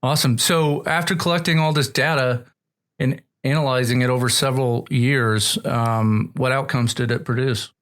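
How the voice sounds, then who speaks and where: A man's voice: medium pitch, steady tone, moderate pace, fairly bright tone. Man, mid-range voice, podcast host, conversational questioning. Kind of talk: conversational interviewing.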